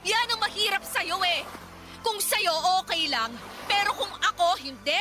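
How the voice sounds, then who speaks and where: A woman's voice: very high-pitched, lively intonation, a little slow, over loud music. A woman voicing a defiant, resentful gambling wife in a Filipino radio drama, with a confrontational, sarcastic, scolding manner and a Filipino accent. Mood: furious, bitter.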